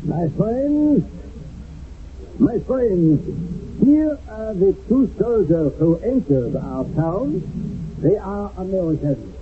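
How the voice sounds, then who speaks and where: A man's voice: sits high, very animated, slow, full character voice. A man playing a solemn French village mayor in a radio drama, formal and declamatory as he presides. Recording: tinny, noisy old radio broadcast.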